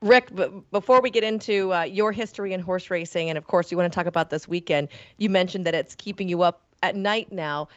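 A woman's voice: on the low side, a little fast, no echo, lo-fi sound. A woman co-hosting a podcast interview, eager and chatty while stuffy with a cold. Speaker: podcast co-host. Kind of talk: casual interview.

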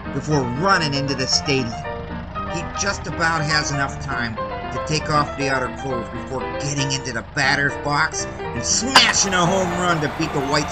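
A man playing a chatty, excitable 1920s Chicago cab driver telling a story in a breezy, streetwise audio drama style. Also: medium pitch, natural voice. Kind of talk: audio drama acting, animated first-person storytelling.

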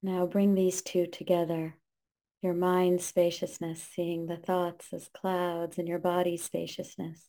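A woman leading a guided meditation, giving calm, gentle instructions in short, spaced phrases. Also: on the low side, unhurried.

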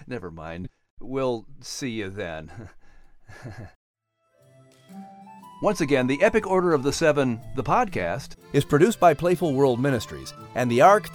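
Male narrator-announcer: lively, higher-set voice, storytelling delivery, music underneath. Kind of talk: audiobook narration and podcast announcing.

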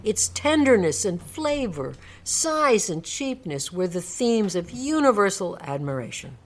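A woman's voice: mid-range voice, very animated, unhurried. A woman narrator reading a classic story aloud in a dramatic scripted storybook style. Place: radio studio.

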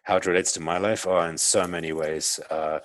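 A man's voice: low voice, steady tone, a little fast, no echo. A middle-aged man with a South African accent, a podcast guest, talking reflectively with many restarts and fillers. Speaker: guest, entrepreneur. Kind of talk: casual interview conversation.

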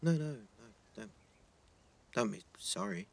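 A man's voice: medium pitch, expressive, unhurried, creaky. An actor playing a shy, earnest teenage schoolboy, hesitant and flustered, repeating his words in a naturalistic audio drama. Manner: hesitant, stammering repetitions, flustered.

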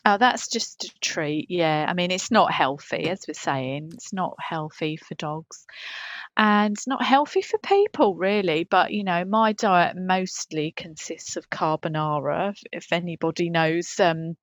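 A woman's voice: on the low side, a little slow, dry recording. A woman co-hosting a podcast, chatting in a rambling, hesitant, matter-of-fact comic style. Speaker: podcast co-host. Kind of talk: casual comic podcast chat.

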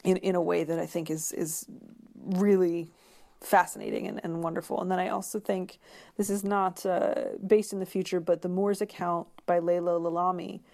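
A woman speaking as an interview guest in a conversational way, searching for words with many pauses and repeats. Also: fairly low pitch, level tone, darkish tone.

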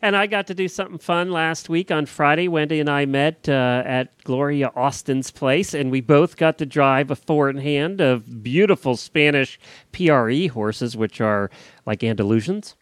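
A man's voice: fairly high pitch, moderate pace, clear, resonant tone, no echo. A man with an American accent co-hosting a podcast, casually chatting and telling stories. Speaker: podcast co-host.

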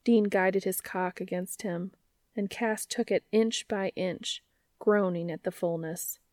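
A woman's voice: mid-range voice, fairly even intonation, measured pace, a little mellow, no room sound, clean studio sound. A woman narrating scripted fiction as an audiobook reader.

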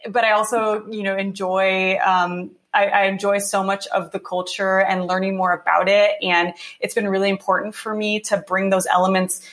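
A woman's voice: medium pitch, little pitch movement, moderate pace, few pauses, fairly bright tone. Reflective young woman from California. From California, USA. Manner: reflective, many fillers and restarts. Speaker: podcast guest. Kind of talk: casual interview.

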